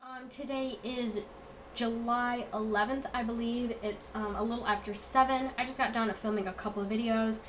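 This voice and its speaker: A woman's voice: on the high side, steady tone, moderate pace, background noise. A young woman vlogging casually in her bedroom, a little stuffed up from a cold, chatty with lots of ums. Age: young adult. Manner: chatty, many ums, upbeat. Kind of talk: casual chat. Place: bedroom indoors. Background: fan humming.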